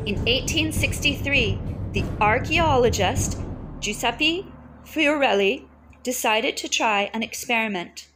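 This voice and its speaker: A woman with a fairly high voice reads a children's story aloud. Her phrasing is measured and deliberate, with pauses between phrases. Prominent music plays behind her throughout. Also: rough recording. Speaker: read-aloud teacher and storyteller. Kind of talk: expressive read-aloud of a children's picture book.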